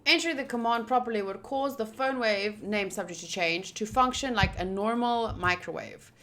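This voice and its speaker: A woman doing casual playthrough commentary of a visual novel, reading game text aloud and thinking out loud with excitable energy. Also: medium pitch, moderate pace. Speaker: streamer playing a visual novel.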